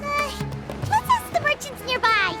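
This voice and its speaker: A woman voicing a tiny, excitable female companion creature who frets in quick exclamations, in game dialogue. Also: faint music behind, heavily acted. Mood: worried. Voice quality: very high, childlike voice.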